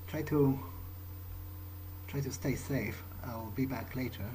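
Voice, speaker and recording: A man role-plays a brooding, guilt-ridden vampire in a video game playthrough. He talks slowly and hesitantly at a medium pitch, stopping to repeat words. Some background noise sits under the voice. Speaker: let's player role-playing in character. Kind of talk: in-character improvised game monologue. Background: video game ambience.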